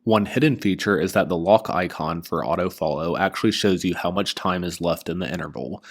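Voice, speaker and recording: A man reading a scripted product review as host, in a measured, informative way. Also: deep voice.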